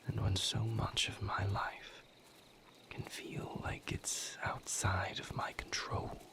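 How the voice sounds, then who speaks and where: A man playing a brooding, tender vampire lover with a painful past, speaking hesitantly and formally in a breathy, intimate audio roleplay monologue. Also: huge pitch swings, heavily acted. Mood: guarded, somber. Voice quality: breathy, whispered, low-pitched. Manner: hesitant, formal wording, measured, pausing.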